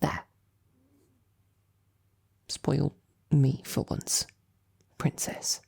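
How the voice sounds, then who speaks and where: A woman playing a loving, flustered lady knight in an intimate roleplay audio drama, speaking softly and haltingly with tender, teasing affection. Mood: teasing, affectionate. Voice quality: soft, breathy, husky. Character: loving, flustered female royal knight courting a princess.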